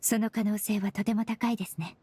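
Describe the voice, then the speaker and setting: A woman playing a composed, caring young perfumer who speaks with measured, formal politeness in a Japanese game. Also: mid-range voice, flat delivery, warm tone, a little hiss or hum, lightly acted.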